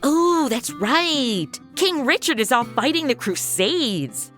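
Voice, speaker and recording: An irreverent modern young woman in a comedy audio drama. Her voice is very high and bright, with bouncy, swooping intonation and a snarky, easily distracted, rambling manner. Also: very animated, takes it slowly, soft background music, full character voice.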